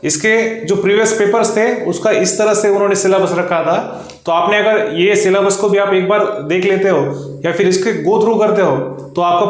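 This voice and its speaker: A man with an Indian accent teaching an online exam lesson, explaining in an instructional way and mixing in English terms. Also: sits high. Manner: instructional, mixes Hindi with English terms, frequent check-in tags. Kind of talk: explanatory online lesson, reading out syllabus lists. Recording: very echoey, reverberant room.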